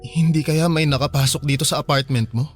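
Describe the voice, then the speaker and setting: An actor playing a helpful young male friend in a radio drama, casual and low-key in his talk. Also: steady tone, brisk, faint music behind, natural voice. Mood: concerned, helpful. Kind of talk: radio drama dialogue in conversational Filipino.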